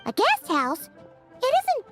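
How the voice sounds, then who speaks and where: A little fairy companion from a video game. Her voice is extremely high and childlike, swinging widely as she exclaims and chatters. Music plays clearly behind her. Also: huge pitch swings, slow pace, fairly bright tone, full character voice. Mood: curious. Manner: exclaiming, refers to self in third person. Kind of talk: animated video game voice acting.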